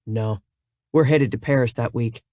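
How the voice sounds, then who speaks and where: Decisive businessman, steady and low, dry close sound, read by a man. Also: moderate pace, dry recording, lightly acted. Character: composed businessman older brother.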